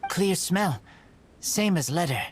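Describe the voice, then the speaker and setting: A woman voicing an earnest wild boy in a video game, speaking in halting, terse broken sentences. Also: fairly low pitch, expressive, a little hiss or hum. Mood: earnest, flat. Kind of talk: scripted video game voice acting.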